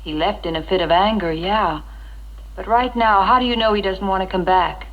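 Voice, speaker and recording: An actress playing an earnest, devout, supportive friend in a 1960s educational film, speaking in a heartfelt, gently persuasive way. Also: female voice, medium pitch, moderate pace, close to an everyday voice. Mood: gently challenging. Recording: dull 1960s film soundtrack with music.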